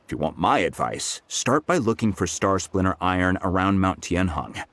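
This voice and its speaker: Deep-voiced chuckling blacksmith. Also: male voice, lively intonation, moderate pace, fairly bright tone, no room sound. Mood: friendly. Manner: self-deprecating, chuckling. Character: friendly male blacksmith, humble and chatty.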